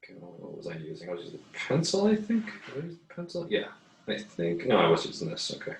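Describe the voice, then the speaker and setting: A man giving a casual, unscripted tutorial as an art teacher, haltingly thinking aloud as he works. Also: fairly low pitch, huge pitch swings, slight background noise. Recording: echoey, roomy sound.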